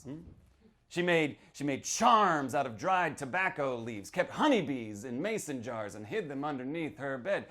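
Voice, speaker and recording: A man's voice: fairly high pitch, fairly bright tone. A man reading horror fiction aloud as a boozy old small-town storyteller, cagey and conspiratorial, prodding the listener and repeating himself. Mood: gossipy, sinister. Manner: theatrical, conspiratorial, repeats phrases, prods listener with "huh" and "hmm". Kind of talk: dramatic first-person horror reading.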